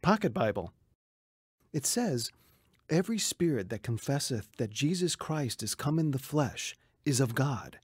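Radio drama role: devout male coworker, sincere and persistent, slightly rough voice, lively intonation, dry recording. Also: medium pitch, measured pace, no room sound. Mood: earnest. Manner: earnest, emphatic, recites scripture solemnly.

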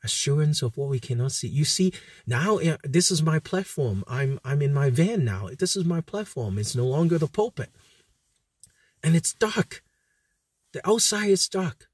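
A man in his thirties giving an informal sermon as a pastor, speaking haltingly with frequent hesitations and repeated words. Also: a little high, expressive, husky, no echo. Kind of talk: informal recorded sermon with Bible teaching. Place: inside a parked van at night.

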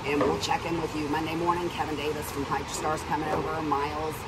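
A woman's voice: low voice, level tone, fast talker. A woman in her fifties explaining things casually to the camera as the host of a repair shop video. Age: 50s. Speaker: host, boat trailer shop expert. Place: open-sided covered outdoor boat trailer yard. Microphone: camera mic a few metres away. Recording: distant mic, loud shop and vehicle noise.

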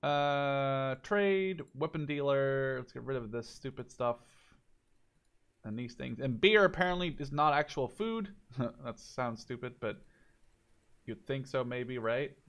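A man in his twenties giving casual let's play commentary, thinking out loud in short phrases as if talking to himself. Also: medium pitch, lively intonation, unhurried, clean tone. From Canada or Netherlands. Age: 20s. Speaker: gaming YouTuber playing and commentating.

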